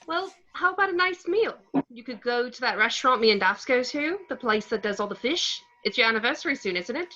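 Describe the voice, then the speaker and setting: Chatty friend: very bright, eager, chirpy woman reader at a table read, slightly muffled lo-fi sound. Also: fairly high pitch, expressive, a little fast. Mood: chirpy, helpful. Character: chatty, helpful theatre-loving woman friend, a teacher. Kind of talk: informal table read of a film script.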